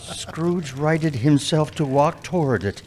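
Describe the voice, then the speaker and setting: A man narrating a live radio play with measured, dramatic pauses. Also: a little high, lively intonation, darkish tone, slight background noise.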